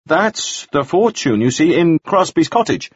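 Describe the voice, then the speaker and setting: A male narrator lifts his voice high to play a jittery, flustered dandy who stammers and pleads in a thin, anxious tone. His husky voice swings about a lot, heard through a dull, lo-fi recording. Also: very animated, a little slow, dry recording, heavily acted. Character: nervous, flustered dandy man.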